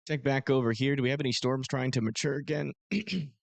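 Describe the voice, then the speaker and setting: Man, hoarse and strained voice, casual live-stream host, rambling self-deprecating chatter, dry close mic. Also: mid-range voice, fairly quick, no room sound. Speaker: storm-chasing livestream host. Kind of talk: live radar commentary. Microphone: close desk microphone.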